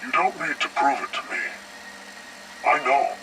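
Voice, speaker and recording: An actor playing a cold, sadistic male interrogator in a video game, speaking in a measured, mock-polite, menacing way. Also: mid-range voice, very noisy, lightly acted. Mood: soothing, sinister. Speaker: villain character in a video game. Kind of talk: scripted cutscene dialogue.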